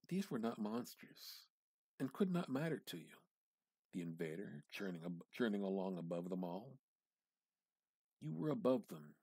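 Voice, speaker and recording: A man reads literary fiction aloud as a dramatic practice piece. His voice is fairly low and quite husky, recorded very dry and close. He phrases deliberately and expressively, letting some words sink toward a hush. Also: no echo. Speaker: amateur reader practicing dramatic reading. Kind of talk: dramatic reading of a literary short story.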